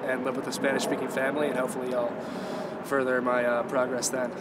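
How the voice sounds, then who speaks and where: A man's voice: medium pitch, little pitch movement, fast talker. A young man, a college student, answering modestly and rambling in a street interview. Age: young adult. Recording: loud noise, distant mic.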